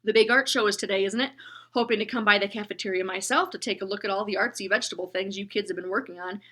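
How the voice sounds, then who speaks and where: A woman voicing a big male bus driver who speaks in a loud, lofty, gallant manner, in a storybook reading. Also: mid-range voice, fast talker. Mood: booming, lofty. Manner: bellowing, courtly and lofty speech.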